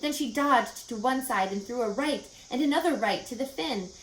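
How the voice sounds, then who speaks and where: A woman voicing a kindly, bossy, quick-witted female spider in a children's storybook, articulate and telling tales with relish. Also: fairly high pitch, echoey room, a little hiss or hum, pitched above the performer's own voice, natural voice, poor audio. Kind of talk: children's storybook read-aloud.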